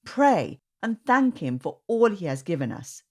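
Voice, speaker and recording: A woman voicing a kind, patient father explaining things gently to his children in a storybook. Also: high voice, sing-song, measured pace, full character voice. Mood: gentle.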